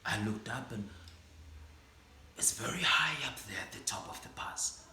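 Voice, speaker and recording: A stage actor performing a theatrical monologue as an aging male schoolteacher, proud and grieving, moving from hushed reflection to impassioned outbursts. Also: medium pitch, unhurried, reverberant, in a whisper. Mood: wistful.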